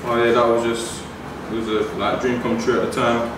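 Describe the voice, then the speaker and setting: A man's voice: medium pitch, level tone, brisk. A young man chatting casually as he cooks, explaining each step. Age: young adult. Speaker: young footballer cooking. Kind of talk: casual cooking vlog chat. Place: large modern home kitchen. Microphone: far camera mic. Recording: echoey kitchen, distant mic, noisy.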